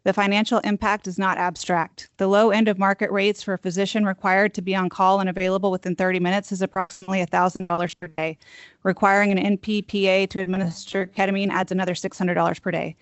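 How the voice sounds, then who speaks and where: Soft-spoken nurse lady reading her statement fast, flat tone, dry room. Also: mid-range voice, no room sound, muffled recording. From Texas. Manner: soft-voiced, firm and steady. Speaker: registered nurse giving public comment. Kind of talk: prepared testimony read aloud.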